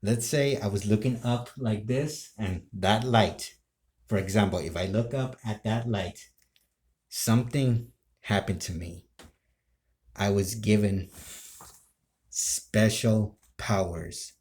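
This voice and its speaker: Deep-voiced, slow-talking man, halting storyteller. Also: darkish tone. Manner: halting, rambling, self-interrupting. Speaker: amateur role-play video creator. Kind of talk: casual improvised storytelling to camera.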